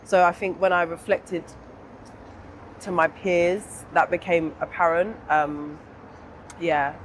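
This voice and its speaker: A young adult woman with a southern English accent speaks candidly and informally in an interview, pausing to think as she reflects. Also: lowish voice, little pitch movement, slight background noise, rough recording. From England. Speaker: interview guest.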